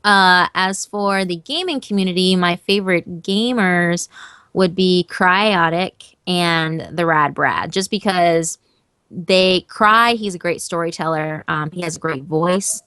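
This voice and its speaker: A woman who narrates horror stories, chatting as an interview guest in an animated, emphatic way and swearing casually. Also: lowish voice, takes it slowly, smooth, dry recording, clean studio sound.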